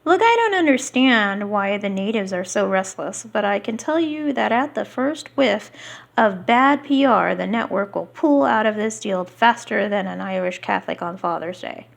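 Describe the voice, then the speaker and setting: A woman reading a book aloud unrehearsed, halting here and there and slipping into casual asides. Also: medium pitch, expressive, vocal fry.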